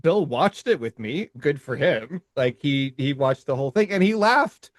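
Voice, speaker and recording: A man's voice: medium pitch, expressive, normal speed, no echo, clean studio sound. A man co-hosting a podcast in casual conversation who laughs often and stammers over his restarts. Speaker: podcast co-host.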